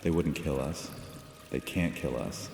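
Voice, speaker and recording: A man's voice: very deep voice, steady tone, measured pace, creaky, darkish tone, slight background noise, exaggerated voice. A man playing a cocky, carefree, flirtatious vampire in an immersive fantasy audio drama, teasing and lazily seductive. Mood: cocky, dismissive. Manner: teasing, lazy, seductive, flippant. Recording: long reverb, music bed, water sounds.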